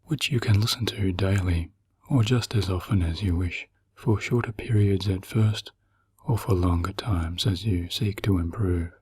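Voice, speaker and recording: A man narrating a guided meditation in a gentle, soothing, slow manner with long pauses and a breathy, husky voice. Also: sits low.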